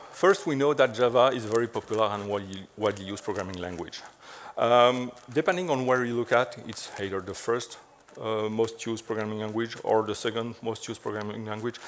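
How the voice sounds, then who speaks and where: A middle-aged man from the French-speaking part of Belgium speaks in a French accent at a mid-range pitch. He gives a conference talk on stage in a loose, chatty way, full of "so" and "uh" fillers with a light joking tone. Faint room ambience sits behind his voice. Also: slight background noise. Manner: chatty, frequent "so" and "uh" fillers, light self-deprecating humor. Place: large dark conference stage.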